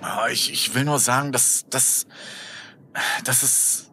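A man's voice: medium pitch, slow pace, noisy background. A man acting an anxious, insistent young man in an audio drama, stammering and earnest as if shaken by something strange. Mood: agitated, insistent.

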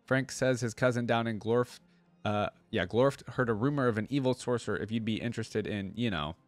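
A man voicing an older village woman, a blunt leader who turns halting when showing deference, in a storybook reading. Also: medium pitch, dry recording, faint music behind, close to an everyday voice. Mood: awkwardly placating. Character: commanding older village woman leading a mob, awkwardly deferential.

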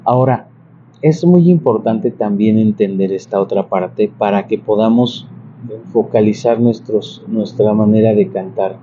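A man, giving an informal video lesson in a chatty, halting way that often wanders into asides. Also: on the low side. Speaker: church organist and psalm-singing teacher. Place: large bright interior beside an organ. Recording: muffled sound with clear background noise.

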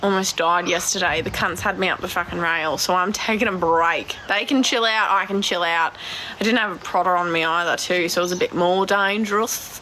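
A blunt Australian woman in her twenties with a naturally deep voice, chatting casually on a live stream from her phone and swearing freely. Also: steady tone, brisk, pushed and bright, a little hiss or hum, rough recording. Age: 20s. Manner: blunt, swears a lot, laughs easily. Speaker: cattle farmer vlogger. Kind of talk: casual live stream chat answering comments. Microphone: phone at arm's length.

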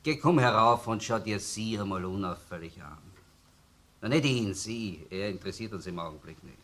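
Low-voiced, doubting Viennese detective. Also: male voice, lively intonation, normal speed, a bit bright. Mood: businesslike. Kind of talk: German-language radio crime drama acting.

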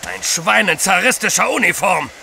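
An actor playing a cruel, gloating Tatar bandit leader in an audio drama, sneering and theatrical. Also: male voice, high-pitched, lively intonation, moderate pace, vocal fry, bright, forceful tone, a little hiss or hum, heavily acted. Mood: contemptuous fury.

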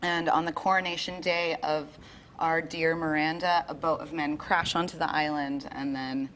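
A woman's voice: low-pitched, fairly even intonation, normal speed, fairly bright tone, slight background noise. A woman in her thirties from Sacramento, a circus clown, answering TV interview questions in a friendly, enthusiastic way. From Sacramento, California. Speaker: circus clown performer, guest. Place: TV studio set. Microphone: lapel mic.

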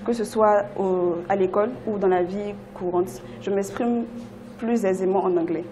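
A woman's voice: medium pitch, fairly even intonation, moderate pace, dark tone, slight background noise. Young Ivorian woman in an interview. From Ivory Coast. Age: 20s. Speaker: language student interviewee. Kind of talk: interview testimonial. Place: indoors at a language school. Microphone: lapel.

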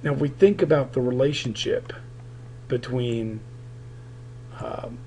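Raspy-voiced man teaching a recorded lecture. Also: medium pitch, warm tone, a little hiss or hum. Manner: halting, many fillers, explanatory. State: raspy, recovering voice. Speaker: political science teacher.

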